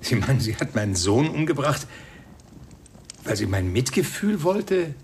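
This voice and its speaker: A bitter defiant husband, mid voice, snappy and incredulous, radio drama, slight noise. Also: male voice, mid-range voice, big pitch swings, measured pace, darkish tone. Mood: bitter, stubborn. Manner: curt, incredulous, indignant. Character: defiant, embittered husband and bereaved father. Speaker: actor in a radio crime drama. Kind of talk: radio play acting.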